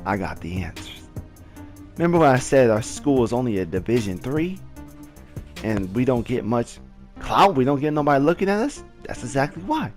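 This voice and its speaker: A young man from Southern California telling a casual story to the camera, animated and full of "like" fillers, his voice leaping up high when he relives moments. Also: normal speed, faint music behind. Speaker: storyteller vlogger.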